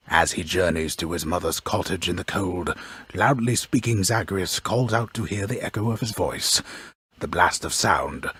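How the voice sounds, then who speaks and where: An actor playing a solemn, wry storyteller of underworld myths in a video game, with grandiose, measured delivery and a gravelly, husky voice. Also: male voice, sits low, a bit bright, no room sound, heavily acted. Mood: wry, dramatic. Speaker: storyteller narrator. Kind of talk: scripted dramatic video game narration.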